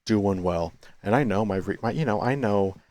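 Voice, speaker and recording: Low-voiced man musing to himself. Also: normal speed, darkish tone. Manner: thinking aloud, many restarts and self-corrections; quits smoking, chews sunflower seeds. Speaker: vlogger. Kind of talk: rambling reflective monologue. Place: cafe.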